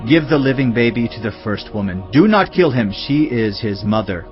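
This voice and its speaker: Man acting young bible king, earnest n deliberate, regal, slightly muffled w soft music. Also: medium pitch, animated, lightly acted. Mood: commanding. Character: earnest, reverent young king who judges firmly. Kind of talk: dramatised audio Bible acting. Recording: slightly dull, music underneath.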